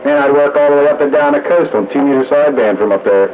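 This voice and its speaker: A man's voice: mid-range voice, quick pace. A man chatting casually with other operators over a two-way radio. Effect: two-way radio transmission. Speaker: radio operator in a group chat. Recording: muffled, noisy two-way radio.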